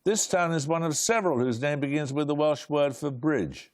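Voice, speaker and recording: An older British man hosting a studio quiz show, reading questions in a brisk, dry, clipped way. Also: on the high side, a little mellow. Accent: British English. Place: television studio.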